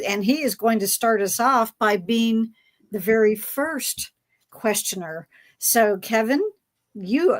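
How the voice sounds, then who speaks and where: A woman speaking casually as a track director and moderator on a discussion panel. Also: fairly high pitch.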